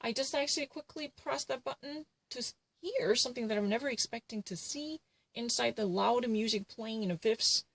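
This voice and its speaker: A woman's voice: on the high side, normal speed, gravelly, dry recording. A woman ranting to camera in an indignant, repetitive way, filling her sentences with "actually" and "you know". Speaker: vlogger.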